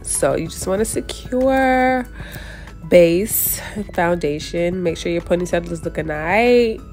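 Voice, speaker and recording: A woman's voice: lowish voice, expressive, unhurried, a little mellow, faint music behind. A young woman with a stuffy, congested voice, casually walking viewers through a hair tutorial while she works. She chats loosely and often stops to correct herself. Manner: chatty, talks while working, often restarts and corrects herself. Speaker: hair vlogger.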